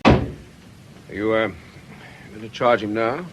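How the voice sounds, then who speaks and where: An actor playing an earnest, perplexed vicar in a radio drama, hesitant and questioning in a proper way. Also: male voice, steady tone, takes it slowly, lo-fi sound. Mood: disbelieving. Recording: noisy ambience with vehicle rumble.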